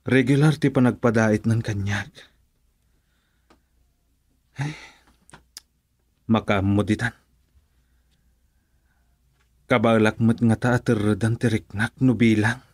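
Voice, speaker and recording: A man's voice: fairly low pitch, steady tone, takes it slowly, long pauses, no room sound. An actor playing a brooding, troubled married man in an Ilocano radio drama, with a Filipino Ilocano accent. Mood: troubled, musing.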